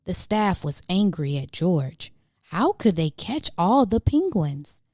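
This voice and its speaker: A woman with a soft, mellow voice reads a children's picture book aloud. Her pitch moves in lively swoops as she plays up questions and exclamations for young listeners. The sound is dry and close. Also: takes it slowly, no echo. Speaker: children's storybook reader, channel host.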